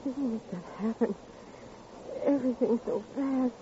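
A woman playing a fragile, frightened victim in 1950s radio melodrama, halting, distraught and dramatic. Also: on the high side, close to an everyday voice. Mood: faint, evasive. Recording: muffled, noisy vintage radio recording.

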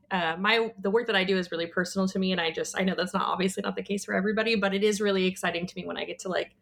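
Creaky-voiced woman interviewer. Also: mid-range voice, fairly bright tone, slight background noise. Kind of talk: podcast interview.

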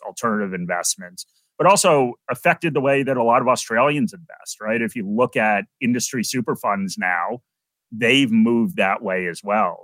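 A middle-aged American man, a podcast guest, explaining investing in a relaxed, conversational way with many "you know"s. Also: fairly low pitch, dry recording, poor audio. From United States. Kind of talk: podcast interview.

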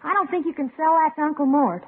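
An actress in an old-time radio comedy playing a sensible young older sister, reasonable and gently scolding. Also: female voice, sits high, fast talker, natural voice. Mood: cautioning. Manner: reasonable, cautioning. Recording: muffled, noisy vintage radio recording.

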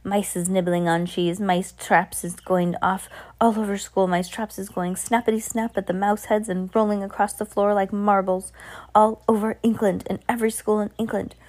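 A woman's voice: mid-range voice, little pitch movement, moderate pace, darkish tone, lightly acted. A woman voicing a gloating, commanding evil grand witch in broken English for a storybook reading. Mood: triumphant. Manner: broken grammar, boastful, commanding.

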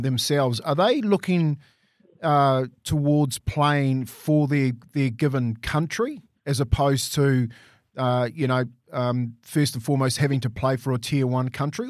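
A man's voice: mid-range voice, no room sound, studio quality. A man hosting a radio interview and putting questions to his guest in a loose, conversational way. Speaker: radio host.

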